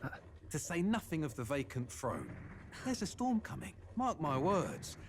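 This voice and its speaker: Lively, foreboding common traveler. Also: male voice, a little high, moderate pace, a little hiss or hum. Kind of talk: dramatic video game acting.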